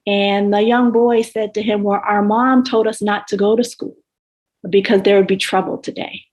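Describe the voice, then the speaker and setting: A woman's voice: mid-range voice, fairly even intonation, moderate pace, a little mellow. A woman speaking as a scholar on a panel, recounting local history.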